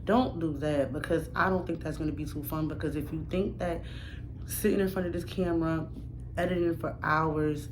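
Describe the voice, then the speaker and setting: A young woman with a low voice and a New York accent talks straight to her camera in a casual vlog. She is emphatic and direct, with plenty of slang, and she keeps circling back to the same points. Steady background noise sits under her voice. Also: normal speed. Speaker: new YouTuber.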